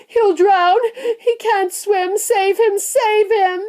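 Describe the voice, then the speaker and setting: A woman's voice: extremely high pitch, steady tone, takes it slowly, darkish tone, voice pushed up. A woman voicing a bossy, panicking mother in a children's storybook, screaming and commanding. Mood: frantic screaming. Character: shrill, panicking, bossy mother of a greedy boy.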